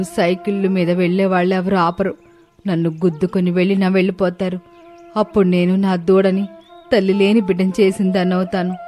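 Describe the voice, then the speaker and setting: A woman's voice: lowish voice, a little mellow, natural voice. A woman voicing a distressed, loving mother cow in a storybook tale, lamenting and pleading.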